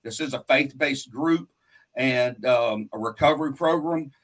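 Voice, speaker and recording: A man's voice: mid-range voice, unhurried. A man hosting a faith-based talk show in a folksy, talkative, rambling and earnest way. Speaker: radio show host.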